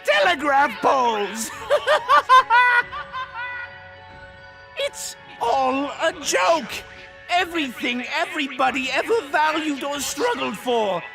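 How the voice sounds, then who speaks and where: An actor playing a manic, theatrical supervillain clown in a comic dub, unhinged and swinging between shouts and mutters. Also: male voice, very high-pitched, animated, unhurried, creaky, over music, full character voice, rough recording. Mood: mocking outrage. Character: manic, theatrical middle-aged male supervillain clown madman.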